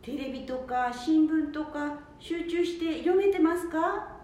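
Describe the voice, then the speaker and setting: A very echoey room surrounds a woman acting as a kind care manager in a radio drama. Her voice is high and soft-toned, attentive and polite. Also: takes it slowly. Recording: very echoey room, faint rumbling noise.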